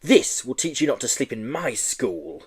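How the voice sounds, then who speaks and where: A man's voice: mid-range voice, big pitch swings, moderate pace, breathy edge. Man playing a stern, swooping headmaster. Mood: menacing. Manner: curt, commanding. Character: stern, bullying male headmaster, curt and outraged. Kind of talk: children's audiobook character reading.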